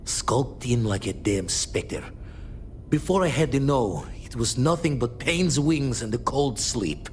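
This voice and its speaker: An actor playing a wounded, stoic space adventurer in a video game, weary, with clipped and florid wry phrasing. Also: male voice, medium pitch, lively intonation, measured pace, a little mellow, slight background noise, lightly acted.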